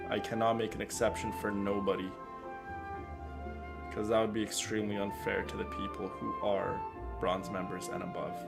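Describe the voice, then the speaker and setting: Male streamer, gravelly and on the low side, earnest, chatty, casual livestream delivery. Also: little pitch movement, normal speed. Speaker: streamer and content creator. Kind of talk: casual game livestream chat. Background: game music.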